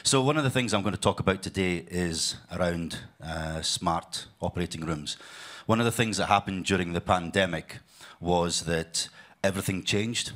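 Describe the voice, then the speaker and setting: A man from the UK, speaking at a conference in a deep, low voice. His delivery is loose and unscripted, full of fillers, repeated phrases and restarts. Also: moderate pace, a bit bright. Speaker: conference speaker, sales leader. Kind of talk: conference presentation.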